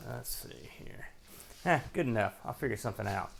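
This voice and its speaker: A man's voice: lowish voice, expressive, moderate pace, vocal fry, a bit bright. A man hosting a retro gaming show, giving casual, wry unboxing commentary in folksy slang as he thinks aloud while handling items.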